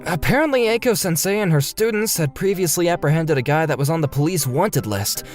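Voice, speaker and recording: A man's voice: a little high, expressive, a little fast, faint music behind, natural voice. A man playing an earnest, wronged but forgiving young man who narrates his story in a manga dub. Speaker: narrator and lead character.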